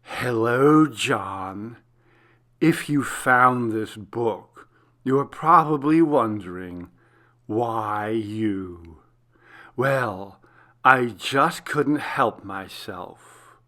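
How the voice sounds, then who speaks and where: A man voicing a menacing, gloating serial killer in a horror story, taunting with slow, drawn-out mock affection. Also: mid-range voice, animated, pushed and bright, heavily acted. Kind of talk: dramatic horror story reading.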